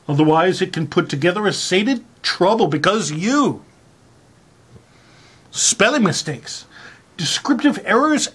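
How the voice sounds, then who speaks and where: A person giving a mock-dramatic reading with theatrical, exaggerated emphasis and dramatic pauses. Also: mid-range voice, fairly bright tone, slightly rough.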